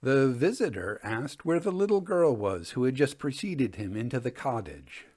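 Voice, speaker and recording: Guy reading old ghost stories, careful measured delivery, really animated intonation. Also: medium pitch. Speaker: host and reader of a ghost story podcast. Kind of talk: storytelling, reading Victorian tales aloud.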